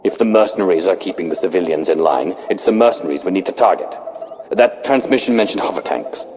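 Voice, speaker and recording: An actor playing a commanding, grim dark lord in a video game, speaking with measured authority. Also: male voice, low-pitched, soft background music, lightly acted. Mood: cold, calculating. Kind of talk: scripted video game dialogue.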